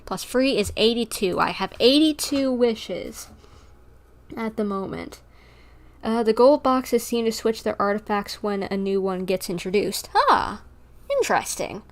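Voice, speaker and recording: Female game streamer, medium pitch, informal and rambling, talking casually to chat. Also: big pitch swings, a little slow. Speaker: live streamer. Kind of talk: casual livestream chat with viewers.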